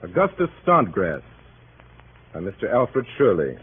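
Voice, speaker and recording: Animated florid man on tinny old radio. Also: on the low side, unhurried. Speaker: radio announcer. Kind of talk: theatrical 1930s credit reading. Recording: thin noisy 1930s radio broadcast.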